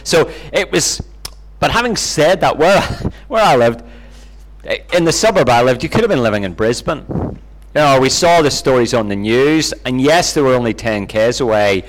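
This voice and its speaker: A middle-aged Northern Irish man speaks at an ordinary male pitch. He tells personal anecdotes informally, in a self-deprecating, conversational manner, like a guest being interviewed. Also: expressive, normal speed, rough recording. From Northern Ireland, near Belfast. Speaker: interview guest, Bible teacher.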